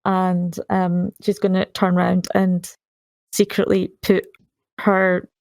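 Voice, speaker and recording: A woman's voice: lowish voice, completely flat delivery, slow pace, gravelly, a little mellow, no room sound. A woman playing a tabletop game, casually chatting and describing what her character does.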